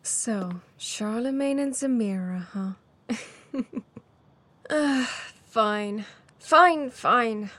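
A woman's voice: fairly high pitch, extremely slow, pauses often, fairly bright tone, full character voice. A woman playing a playful, flirtatious mysterious stranger with a breezy, teasing manner in a fantasy audio drama. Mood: sly. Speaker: guest voice actor.

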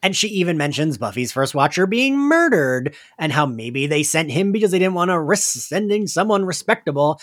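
A man's voice: on the high side, sing-song, normal speed, few pauses, fairly bright tone. A man co-hosting a podcast, recapping and discussing a story in an informal, joking way. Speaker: podcast co-host.

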